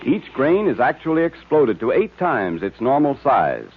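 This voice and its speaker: A man's voice: medium pitch, big pitch swings, normal speed, slight background noise. A man announcing and narrating in the style of a 1940s radio serial, with an emphatic, salesmanlike delivery. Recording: tinny old radio recording.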